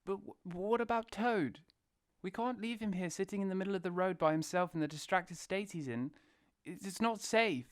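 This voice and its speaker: A woman plays a kind-hearted little mole for an audio drama. The manner is anxious and hesitant, at a medium pitch, recorded close and dry. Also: normal speed, no room sound, close to an everyday voice. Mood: anxious pleading. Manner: hesitant, fretful.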